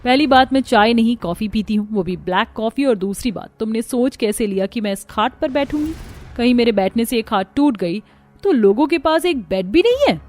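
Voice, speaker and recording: A woman's voice: fairly high pitch, animated, normal speed, darkish tone, soft background music, lightly acted. A woman voicing a haughty, spoiled young rich bride in a cartoon story, complaining with disdain and dropping in English words. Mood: snobbish. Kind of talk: Hindi cartoon story voice acting.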